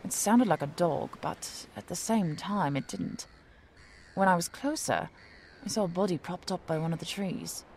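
Casting: actress for haughty, ambitious young female researcher, lowish voice, precise delivery, audio drama with noisy ambience. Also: moderate pace, a little mellow, close to an everyday voice. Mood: uneasy. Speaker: actress, lead narrator of the episode. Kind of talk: scripted audio drama, first-person narration and dialogue.

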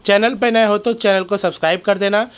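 A man's voice: high-pitched, fairly even intonation, a little fast, clean tone. A man hosting his own channel, walking through a factory and explaining each step, often calling out to viewers as friends. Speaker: channel host. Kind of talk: factory tour vlog narration.

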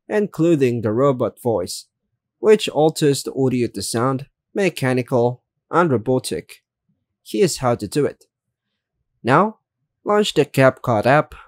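A man giving scripted how-to narration as a tutorial host, in a measured, step-by-step way. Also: medium pitch, a little mellow, no room sound, studio quality.

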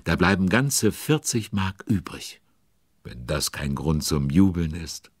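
A man narrating a children's audio drama as a genial storyteller, expressive and chatty with young listeners. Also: low voice, slow, breathy edge, dry recording, clean studio sound, heavily acted. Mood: excited.

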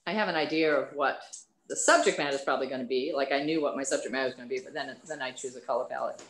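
A woman painter answering questions over a video call in an animated, chatty way. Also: a bass voice, racing, echoey room, low-quality recording. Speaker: painter and art teacher.

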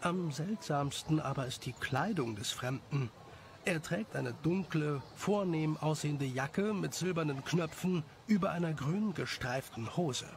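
A man's voice: on the high side, unhurried, a little hiss or hum. A man narrating a scripted story for an audio drama.

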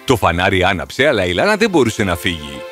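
A man's voice: low-pitched, wildly swooping pitch, music in the background. A man reading scripted fairy tale narration for children.

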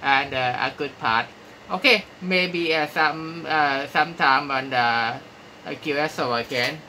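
A man with a Thai accent speaking halting, courteous English as an amateur radio operator, giving call signs and sign-offs. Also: fairly high pitch, bright, forceful tone, a little hiss or hum. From Thailand. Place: small room at a radio desk, indoors.

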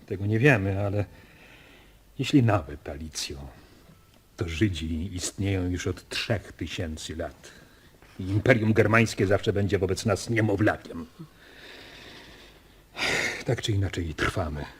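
Low, husky, grave father. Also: a little slow, long pauses, heavily acted. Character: grave, gentle father guarding a family secret. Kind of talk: Polish radio drama acting.

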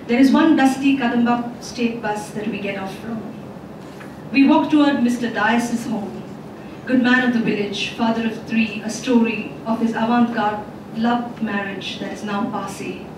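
A woman in her thirties, a poet, giving a measured, expressive reading of her poem on a festival stage into a handheld mic. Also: on the high side. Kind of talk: poetry reading. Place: festival stage, indoor hall. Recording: echoey hall from far off, background noise.

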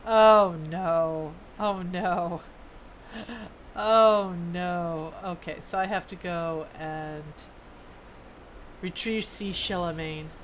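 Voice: medium pitch, steady tone, extremely slow, a little hiss or hum, poor audio. A game streamer chatting casually and thinking aloud while playing. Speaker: game streamer reading quest dialogue aloud. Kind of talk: casual gaming stream with read-aloud dialogue.

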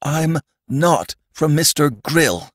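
A terrified alien creature from a storybook, acted out by a man, pleading in a high, strained voice that swings widely. He is frantic and urgent, as if desperate to be believed, in a dry, close recording. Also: sing-song, takes it slowly, fairly bright tone, no room sound, exaggerated voice. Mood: frightened pleading. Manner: frantic, pleading, gasping outbursts. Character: terrified shapeshifting alien mistaken for the Sandman, pleading.

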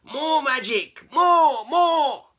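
A woman voicing excitable, teasing cats in a storybook read-aloud, squealing and exclaiming. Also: high-pitched, drawn-out words, voice pushed up, heavily acted. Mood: demanding, excited. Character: crowd of excitable, teasing, loud cartoonish cats.

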